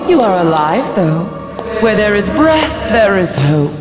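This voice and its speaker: An actress playing a serene but duplicitous demon goddess in a video game, with a smarmy, soothing, formal manner. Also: female voice, medium pitch, big pitch swings, unhurried, over music. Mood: honeyed serenity. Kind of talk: video game character acting. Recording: long echoing reverb.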